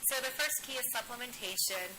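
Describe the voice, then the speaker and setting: A woman giving an upbeat, measured presentation, pausing after each point for an interpreter, seated on a studio set. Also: a little high, expressive, music in the background, poor audio. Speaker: wellness product director presenting. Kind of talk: scripted presentation, paused for an interpreter.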